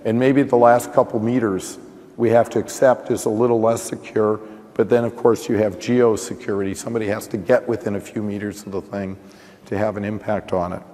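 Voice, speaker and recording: A hoarse man giving a technical conference talk. He thinks aloud, restarting sentences and often saying "you know". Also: fairly low pitch, little pitch movement, brisk, dark tone. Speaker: conference panelist. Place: conference session room. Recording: reverberant conference hall.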